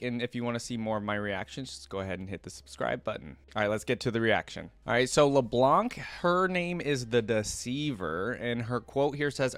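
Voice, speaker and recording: A young man hosting a reaction channel, reading character lore aloud and thinking out loud between passages, with halting restarts. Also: medium pitch, clear, resonant tone, no room sound.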